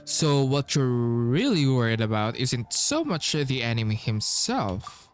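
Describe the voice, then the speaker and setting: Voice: mid-range voice, very animated, unhurried, soft background music. A game streamer talking casually and reading dialogue aloud during a playthrough.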